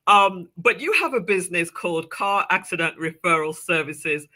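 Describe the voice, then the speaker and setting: A woman's voice: on the low side, animated, normal speed, bright, forceful tone. A woman hosting a local TV interview show, chatty and welcoming, often laughing. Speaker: host.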